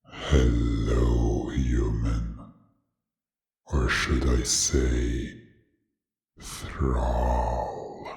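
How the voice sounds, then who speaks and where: Cavernous, extremely deep menacing monster. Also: male voice, flat delivery, vocal fry, darkish tone, exaggerated voice. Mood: taunting. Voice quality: strong voice with a menacing laugh. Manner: slow, taunting, imperious. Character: huge menacing scaly reptilian monster cult leader, arrogant.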